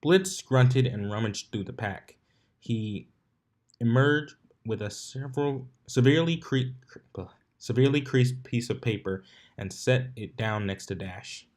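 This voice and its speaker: A man's voice: mid-range voice, unhurried, a little mellow. A man reading a story aloud as narrator, sometimes stumbling and rereading his words.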